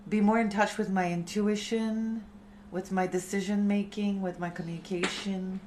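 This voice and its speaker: A woman in her thirties, a chanting teacher, talking softly and unhurriedly to her live-stream community. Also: mid-range voice, fairly even intonation, slight background noise. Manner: slow, soft, unhurried spiritual talk.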